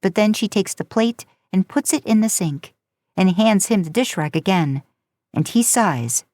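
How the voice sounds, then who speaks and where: A woman's voice: mid-range voice, animated, measured pace, darkish tone, dry recording. A woman reading a story aloud in an informal, conversational audiobook style. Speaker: podcast host narrating fan fiction.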